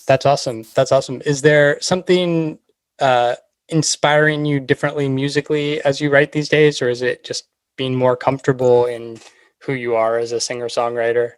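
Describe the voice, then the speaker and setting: A man's voice: medium pitch, little pitch movement, moderate pace, clear, resonant tone, no room sound. A man hosting a podcast interview in a casual, conversational way.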